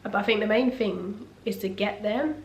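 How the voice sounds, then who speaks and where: Young woman, husky from a cough, reflective faith-centred vlog chat, medium pitch, roomy echo with faint background hum. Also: normal speed. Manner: relaxed, reflective and faith-focused. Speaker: vlogger. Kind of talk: casual sit-down talk. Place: room at home. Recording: echoey room, faint ambience.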